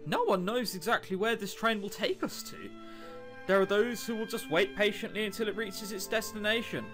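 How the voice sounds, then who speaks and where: A man's voice: high-pitched, moderate pace, lightly acted. A man voicing a friendly, reassuring female shadow ghost in a visual novel, with music behind. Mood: gently reassuring. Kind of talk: visual novel dialogue reading.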